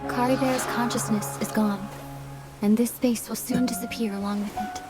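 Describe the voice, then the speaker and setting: An actress playing a composed young warrior princess in a video game, with a gentle, calm and wistful manner. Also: female voice, on the high side, moderate pace, music in the background, natural voice. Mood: tender, regretful. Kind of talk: dramatic video game dialogue.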